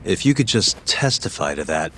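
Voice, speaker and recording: An actor playing a calm, persistent private detective in a video game, speaking in a measured, matter-of-fact, probing way. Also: male voice, deep voice, slight background noise. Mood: thoughtful, persistent. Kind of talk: English video game dub acting.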